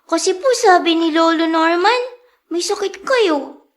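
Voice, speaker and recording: A woman's voice: extremely high pitch, lively intonation, unhurried. A woman playing a worried, stubborn young daughter in a radio drama, polite and plaintive. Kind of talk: Filipino radio drama acting.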